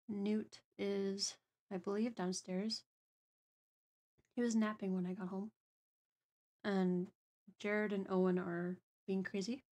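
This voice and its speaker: A woman's voice: mid-range voice, darkish tone. A woman hosting a livestream, chatting casually and playfully with her co-host and viewers.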